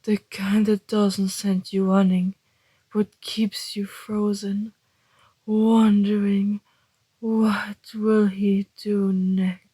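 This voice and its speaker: Woman voicing a breathy, slow-talking, teasing male vampire. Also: mid-range voice, little pitch movement, warm tone, no room sound. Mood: predatory, fascinated. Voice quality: breathy, half-whispered. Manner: slow, deliberate, flirtatious teasing. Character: suave, teasing male vampire, predatory yet intrigued. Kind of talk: ASMR roleplay monologue.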